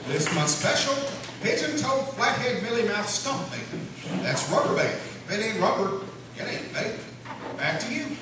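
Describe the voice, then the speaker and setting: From a distant mic in a very echoey, noisy room comes a man acting as a folksy small-town radio newsreader. His voice is high for a man. He announces comic news in a cheerful deadpan with homespun asides. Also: normal speed. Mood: upbeat. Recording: roomy echoing stage, distant mic, noisy.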